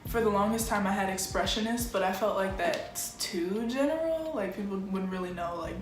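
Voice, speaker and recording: A woman's voice: medium pitch, normal speed. A twenty-year-old American woman from Chicago chats casually to camera as a vlogger, slangy and often interrupting herself. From Chicago, United States. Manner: informal, self-interrupting, slangy. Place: small plain-walled room indoors. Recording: echoey room with music underneath. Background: music.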